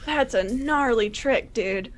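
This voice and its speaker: A woman's voice: on the high side, takes it slowly, a little hiss or hum. A woman voicing a laid-back, nature-loving hippie man who talks in surfer slang.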